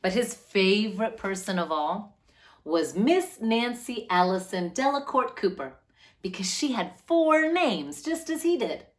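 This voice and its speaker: A woman's voice: medium pitch, big pitch swings, unhurried. A woman hosting a read-aloud for children, narrating expressively with a lilting delivery.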